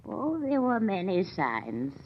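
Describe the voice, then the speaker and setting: An actress playing an ominous, deferential antique shop keeper, speaking in a measured, insinuating 1940s radio manner. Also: female voice, mid-range voice, wildly swooping pitch. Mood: portentous. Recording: dull, hissy old radio recording.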